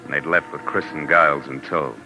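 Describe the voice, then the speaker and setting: An actor playing a tough, wry private detective in a 1940s radio drama, clipped and commanding. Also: male voice, extremely low pitch, steady tone, fairly quick, dull audio. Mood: grim narrating. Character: hard-boiled, tough male private detective, brisk and wry. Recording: 1940s radio recording with music behind.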